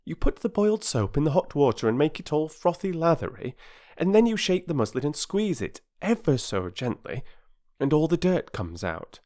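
A narrator voicing an earnest, gentle older girl with grown-up airs, in a children's storybook. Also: medium pitch, sing-song, normal speed, voice pushed up, natural voice. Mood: shocked, instructing.

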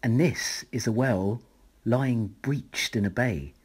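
A man reading a rhyming children's picture book aloud as a bedtime story, in a measured, dramatic way. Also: medium pitch, husky.

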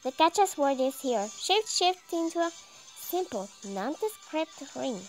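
High, slow-reading young woman over music. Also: big pitch swings. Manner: halting, stumbling over words and repeating them. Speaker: gamer reading a visual novel aloud. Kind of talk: dramatic read-aloud of game text.

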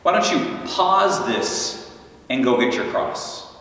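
Slow, reflective priest in an echoing church. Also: male voice, medium pitch, lively intonation, a bit bright. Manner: slow, reflective and guiding, with long pauses. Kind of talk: Good Friday homily. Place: large church sanctuary. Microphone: stand mic some way off, filmed by a far camera. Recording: roomy church reverb, voice from a distance.

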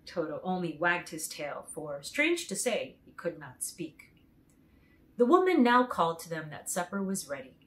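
A middle-aged woman reading a children's story aloud in an expressive, clearly paced way. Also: fairly low pitch, moderate pace, poor audio. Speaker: storytime reader.

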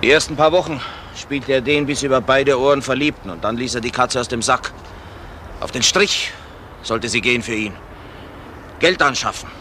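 A man playing a protective middle-aged father, guarded and indignant, with a forceful edge to his mid-pitched voice. It is a crime radio drama with faint noise behind. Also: moderate pace, pauses often, lightly acted.

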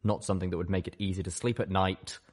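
A man's voice: low-pitched, flat delivery, quick pace, noisy background. A man reading a dramatic scripted story as an audiobook narrator. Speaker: audiobook reader.

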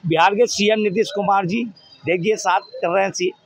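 A man's voice: sits high, fairly even intonation, normal speed. A middle-aged man giving his opinion emphatically in a street interview, often asking rhetorical questions. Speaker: local resident interviewed on the street. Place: outdoors in a busy market street. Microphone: handheld reporter's microphone. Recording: dull, lo-fi street recording.

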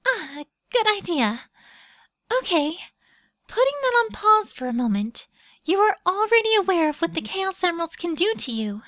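Woman as a very high-voiced mystic guardian. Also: sing-song, moderate pace, fairly bright tone, no echo, heavily acted. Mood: formal. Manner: formal, explanatory. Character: youthful female guardian Tikal, formal, patient explainer. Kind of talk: fan audio drama acting.

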